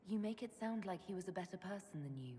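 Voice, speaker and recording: An actress playing a composed, inquisitive leader of a fantasy order in a video game, speaking in a measured, earnest way. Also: female voice, mid-range voice, expressive, warm tone, in a large hall, slight background noise, close to an everyday voice. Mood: gentle concern. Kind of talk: dramatic video game dialogue.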